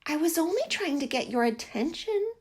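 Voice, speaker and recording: Little storybook bluebird, female, meek and courteous, high-pitched, done by a woman. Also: big pitch swings, normal speed, a little mellow. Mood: meek, hopeful. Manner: meek, polite. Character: small, polite, apologetic female bluebird.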